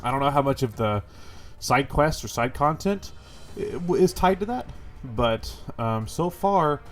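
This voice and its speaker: A man's voice: medium pitch, expressive, measured pace, faint music behind. A man with a stuffy, congested voice giving casual, rambling impressions of a game as host of his gaming channel, full of fillers and the odd swear. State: congested from a cold.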